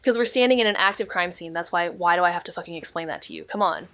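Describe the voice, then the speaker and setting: An actress playing a level-headed, weary young woman amateur detective in a naturalistic audio drama, with a terse, deadpan delivery. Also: lowish voice, fast. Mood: exasperated.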